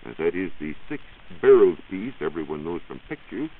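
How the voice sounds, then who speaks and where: An American man giving a measured, explanatory science talk on 1940s radio as a reporter. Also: deep voice, sing-song, husky, phone-quality sound. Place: radio studio. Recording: hissy band-limited 1940s radio recording.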